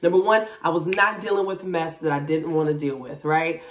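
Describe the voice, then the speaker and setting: Female vlogger, around forty, lowish voice, expressive and frank delivery, roomy home sound with a distant mic. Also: brisk, a little hiss or hum. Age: about 40. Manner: candid, expressive, emphatic. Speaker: vlogger, TV and radio personality. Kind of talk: casual chat to camera about a personal journey. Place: small indoor room at home. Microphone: camera a few feet away. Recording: roomy echo, distant microphone.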